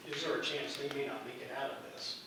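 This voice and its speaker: A man giving informal feedback from an audience, halting as he thinks aloud. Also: mid-range voice, fairly even intonation. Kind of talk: informal critique. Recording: very echoey room, distant mic, clear noise.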